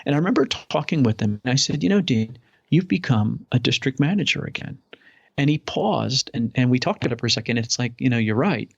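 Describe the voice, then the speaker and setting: A man's voice: medium pitch, animated, quick pace, gravelly, husky, no echo. Eager chatty man, dull lo-fi sound. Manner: upbeat, enthusiastic, rambling. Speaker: podcast guest. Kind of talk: casual interview chat. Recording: dull lo-fi audio.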